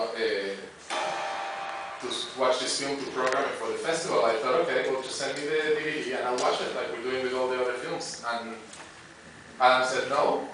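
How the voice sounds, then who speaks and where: A man hosting an informal live stage introduction at a movie theater, speaking in a halting, hesitant way. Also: mid-range voice. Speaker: festival programmer and Q&A host. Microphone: handheld PA microphone, picked up from audience. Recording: echoey, noisy theater, far from camera mic.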